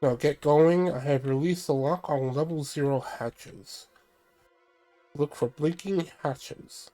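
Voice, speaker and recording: A man reading out a stern male ship computer giving mission orders, measured and plain, his voice stuffy from a cold. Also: a little high, dark tone, soft background music. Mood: briefing. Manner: reads briefing text aloud, measured.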